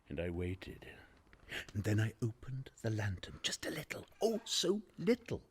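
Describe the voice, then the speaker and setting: An actor playing a mad, obsessive, boastful murderer who narrates a radio play in a halting, theatrical way, moving between whispers and shouts. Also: male voice, on the low side, husky, heavily acted. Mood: hushed, stealthy. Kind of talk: dramatic radio play monologue. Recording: live-recorded radio play.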